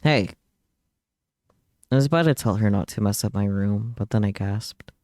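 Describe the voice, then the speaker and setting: A man's voice: fairly low pitch, normal speed, a little mellow, no echo. A man reading visual novel text aloud as a streamer, with wry, offhand asides. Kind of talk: reading game text aloud with casual commentary. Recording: game music behind the voice. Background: video game music.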